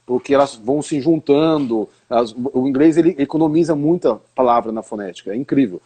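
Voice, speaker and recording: A man's voice: medium pitch, brisk, warm tone, slightly rough. A Brazilian man, an English teacher, explaining pronunciation in a live stream conversation, often asking rhetorical questions. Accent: Brazilian Portuguese.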